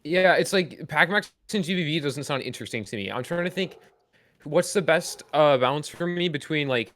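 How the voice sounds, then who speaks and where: A man's voice: on the high side, expressive, normal speed, fairly bright tone. A man co-hosting a podcast in casual, informal chat full of slang. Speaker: podcast co-host.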